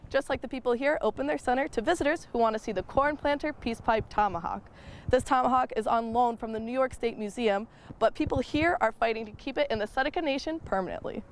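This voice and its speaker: Young woman reporting news, faint background noise. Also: on the high side. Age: young adult. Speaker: student TV reporter. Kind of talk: scripted news report narration.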